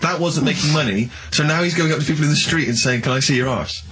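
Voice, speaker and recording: A man's voice: fairly high pitch, fast talker, fairly bright tone. A man in casual comic banter as a radio co-host, questioning and summing up what he hears.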